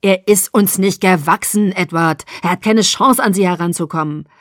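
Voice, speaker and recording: A woman voicing a calm, self-assured young male vampire in an audiobook. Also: lowish voice, moderate pace, no echo, studio quality, natural voice. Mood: confident.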